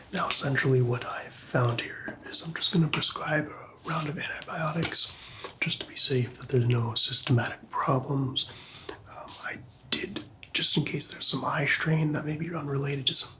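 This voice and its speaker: A man whispering gently and reassuringly in a breathy voice, playing a doctor in an ASMR medical roleplay. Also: medium pitch. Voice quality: breathy, mostly unvoiced whisper.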